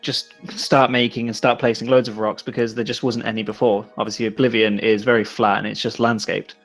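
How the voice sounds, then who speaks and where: A man's voice: on the low side, level tone, brisk. A man with an Irish accent chatting casually on a livestream about his design work. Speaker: landscape designer on a game modding team. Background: faint music.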